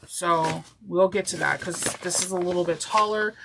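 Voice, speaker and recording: Congested, nasal woman with a gravelly voice. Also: lowish voice, fairly even intonation, moderate pace. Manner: chatty, self-correcting, apologetic. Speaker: sewing instructor. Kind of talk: step-by-step craft tutorial. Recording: noisy with clicks.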